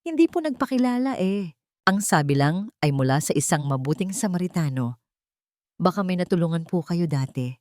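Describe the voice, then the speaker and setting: A woman's voice: on the low side, animated, moderate pace, a little mellow, dry recording, clean studio sound, lightly acted. A woman with a Filipino accent voicing a friendly, polite hospital nurse in an audio romance. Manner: polite, smiling, casual.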